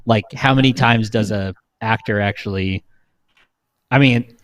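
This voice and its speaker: A man's voice: lowish voice, measured pace, fairly bright tone, dry recording. A young man talking casually as a podcast panelist. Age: young adult. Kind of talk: casual chat.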